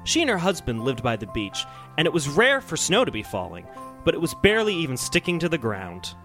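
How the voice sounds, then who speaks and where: A man hosting a comedy podcast, bantering casually and sometimes reading a story aloud in an excitable, mock-earnest way. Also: on the high side, fairly quick, faint music behind.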